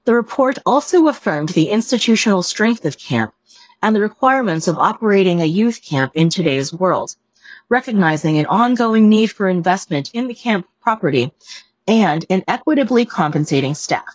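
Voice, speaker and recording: A woman reading a written report aloud as scripted narration, in a measured, formal manner. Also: medium pitch, animated, dry recording. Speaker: reader of a written staff report.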